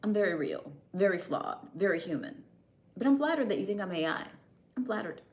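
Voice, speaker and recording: A woman's voice: medium pitch, big pitch swings, a little fast. Chatty American woman live streamer. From United States. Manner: chatty, answers chat comments, laughs often. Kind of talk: casual live-stream chat.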